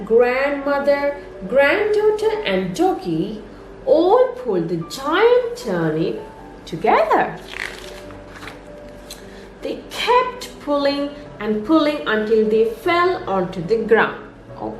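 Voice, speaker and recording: Woman, nursery storyteller, slow pace, expressive sing-song delivery, echoey room. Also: high-pitched. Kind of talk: children's story read-aloud. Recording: roomy echo, music underneath.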